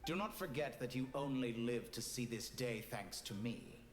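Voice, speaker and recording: An actor playing a cold, arrogant scientist villain in a video game, speaking in a measured, condescending, philosophical way. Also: male voice, mid-range voice, expressive, roomy sound, a little hiss or hum, close to an everyday voice. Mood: cool condescension. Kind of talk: scripted video game dialogue.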